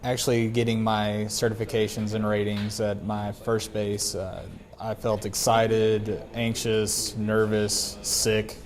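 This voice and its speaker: Low, even-voiced man in an interview. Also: slow, hardly any pauses. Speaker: air traffic controller. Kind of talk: interview answers about own job. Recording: faint background noise.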